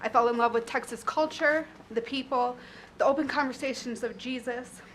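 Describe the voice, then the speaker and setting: A woman's voice: fairly high pitch, normal speed, a bit bright, slight background noise, poor audio. A woman in her thirties from Chicago with a northern accent, reading an earnest, emotional statement at a podium microphone in a county courtroom. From Chicago, USA. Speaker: public commenter. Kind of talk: prepared public statement. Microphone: podium mic.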